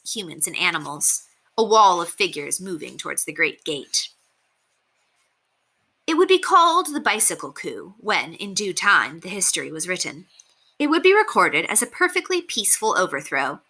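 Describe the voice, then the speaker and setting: Storybook reader: woman, lowish pitch, strikingly bright tone, expressive narration for kids' fiction. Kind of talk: expressive read-aloud narration of a children's novel.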